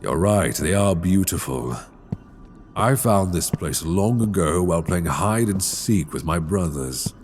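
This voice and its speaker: A man playing an ancient dragon king in an intimate ASMR roleplay, tender and wry, with courtly, teasing phrasing and a husky voice. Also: low-pitched, expressive, a little slow, darkish tone, soft background music. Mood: gentle, explaining. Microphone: close binaural microphone.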